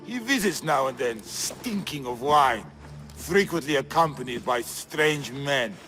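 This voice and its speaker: An actor playing a proud but worried gymnasium master in a video game cutscene. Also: male voice, medium pitch, expressive, unhurried, sharp tone, soft background music.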